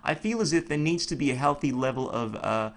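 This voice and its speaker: A man's voice: mid-range voice, lively intonation, fairly quick. A man hosting a podcast, chatting casually in a rambling, slangy, informal way. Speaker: podcast host. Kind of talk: casual conversational interview.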